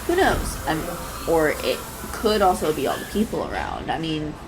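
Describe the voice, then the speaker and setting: Woman performing a shy young woman, anxious, adorkable, hesitant stammer, very animated intonation, roleplay audio, clear café background noise. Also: deep voice, normal speed. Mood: nervous, chatty. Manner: hesitant, rambling, stammering, nervous laughs. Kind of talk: intimate first-person roleplay audio. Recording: clear background coffee-shop ambience noise. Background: coffee shop ambience sound effects.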